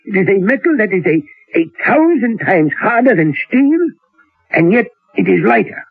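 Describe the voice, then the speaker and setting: A thin, roomy old radio recording carries an elderly professor. He is learned and secretive, musing aloud with theatrical hesitations and lively swings of pitch. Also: normal speed, creaky, husky, narrow, tinny audio, faint music behind, exaggerated voice. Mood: grave, confiding. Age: older. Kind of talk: old-time radio drama acting.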